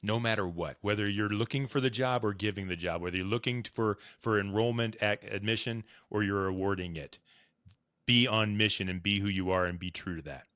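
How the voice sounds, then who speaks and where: A man's voice: lowish voice, fairly quick, a bit bright, no room sound, studio quality. A man hosting a podcast interview, enthusiastic and full of praise, often restarting his sentences. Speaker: podcast host.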